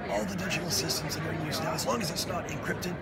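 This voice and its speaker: A man's voice: a little high, fast, vocal fry, over a noisy crowd. A middle-aged man casually explaining a technical product, recorded on camera at a trade show booth. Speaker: head of product development demonstrating a product. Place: busy trade show floor at an exhibit booth. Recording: very noisy convention hall, announcements behind.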